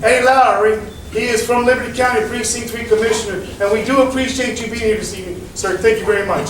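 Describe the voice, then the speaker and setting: A man addresses a packed community hall in a loud, folksy manner. His voice sits high for a man. He is recorded from a distance in an echoey room with clear background noise. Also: steady tone, quick pace. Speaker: meeting chairman. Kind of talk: public address to a meeting.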